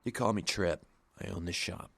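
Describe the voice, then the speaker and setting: An actor playing a nervous, spaced-out shop owner in a video game, rambling in a hesitant stoner drawl. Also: male voice, deep voice, moderate pace, vocal fry, fairly bright tone, no echo, heavily acted. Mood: sheepish, laid-back. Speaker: shopkeeper character in a video game.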